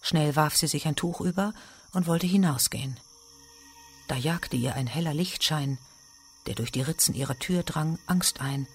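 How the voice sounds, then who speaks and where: A man's voice: fairly high pitch, normal speed, faint music behind. A man reading scripted literary narration in a radio drama.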